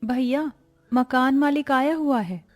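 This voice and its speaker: A woman plays a dutiful, anxious housewife in a cartoon story. Her voice is fairly high with a breathy husk. Faint music sits behind it. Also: slow pace, a little mellow.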